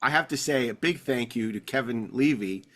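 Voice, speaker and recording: Male host for TV-fan talk show: middle register, informal, halting, wandering storyteller. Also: medium pitch, a bit bright. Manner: halting, repeats words, rambling anecdotal delivery. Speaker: podcast host. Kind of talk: casual panel discussion of classic TV.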